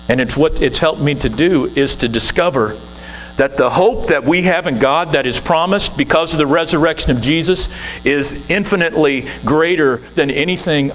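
A man's voice: on the high side, a little hiss or hum, rough recording. A man in his sixties, a guest minister, preaching an earnest, conversational sermon from the pulpit of a church.

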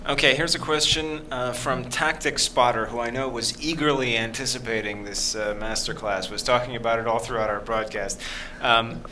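A man's voice: mid-range voice, steady tone, sharp tone, slight background noise, poor audio. A man in his forties interviewing a guest, asking conversational questions and often restarting words mid-sentence. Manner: quick, repeats words while forming questions. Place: indoor press area before a sponsor backdrop. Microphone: desk microphone.

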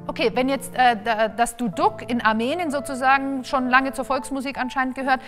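Neugierige Frau mit halligem Klang. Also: female voice, sits high, steady tone, fairly quick, fairly bright tone, long reverb tail, faint music behind. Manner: curious, playfully surprised questioning. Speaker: host of a children's music show. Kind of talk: playful children's show chat. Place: stage set with suitcases.

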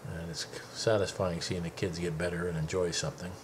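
A man's voice: deep voice, steady tone, a little fast, noisy background. A middle-aged man narrating a home video of his family's day by a lake in an easygoing, chatty way. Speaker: father filming a family outing. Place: outdoors by a lake.

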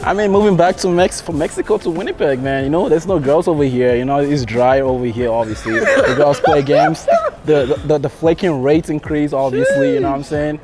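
A man's voice: fairly high pitch, wildly swooping pitch, brisk, music in the background. A man in his twenties chatting casually to a vlog camera in heavy street slang, joking and repeating catchphrases. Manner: repeats "you know what I'm saying", joking, hyped. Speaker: vlogger, host. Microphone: camera held at arm's length.

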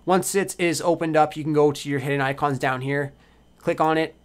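A man hosting a software tutorial, explaining things step by step in a casual way. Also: on the high side, steady tone, a little fast. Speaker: tutorial host.

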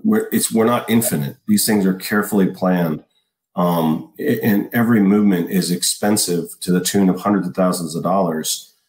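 An American man in his forties, an army officer giving measured, detailed explanations in an interview. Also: deep voice, a little mellow. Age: 40s. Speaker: army colonel, interview guest. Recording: roomy, echoing sound.

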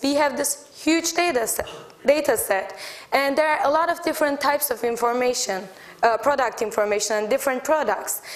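A young woman whose first language is Turkish, giving a technical conference talk from a podium and explaining slides step by step. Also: high-pitched. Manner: explanatory, steady, walking through slides. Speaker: conference speaker. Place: large lecture hall, standing at a podium.

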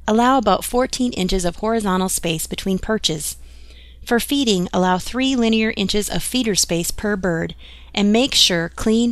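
A woman reading scripted instructional narration in an even, measured way. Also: mid-range voice, dry recording.

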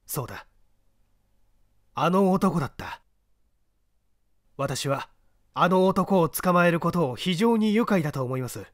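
A man's voice: fairly high pitch, lively intonation, measured pace, long pauses, no room sound, clean studio sound, pitched above the performer's own voice. A man reading an audiobook, voicing a proud, iron-willed detective in a grave, declamatory manner. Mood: grave resolve.

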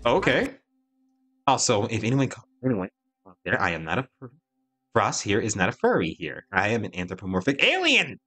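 An animated man talks casually as a reaction host. His pitch moves around a lot over faint background music. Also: a little fast.

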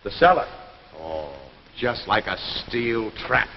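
An absent-minded middle-aged American father in a 1950s TV drama. His delivery is lively in pitch. The sound is reverberant, with a faint hiss of noise. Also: slow pace, full character voice. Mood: distracted. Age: 40s. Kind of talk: 1950s live television drama acting. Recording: muffled, hissy, reverberant old TV soundtrack.

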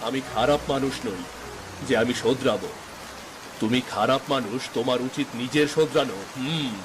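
A man's voice: medium pitch, normal speed, noisy background, close to an everyday voice. A man voicing village men in a dramatic folk-tale cartoon, speaking. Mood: reproachful. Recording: music behind the dialogue.

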